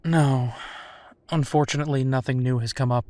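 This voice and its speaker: A man's voice: mid-range voice, steady tone, moderate pace, mellow, no echo, close to an everyday voice. A man playing a protective, teasing werewolf private investigator in an intimate roleplay audio drama, speaking in a conversational, affectionate way.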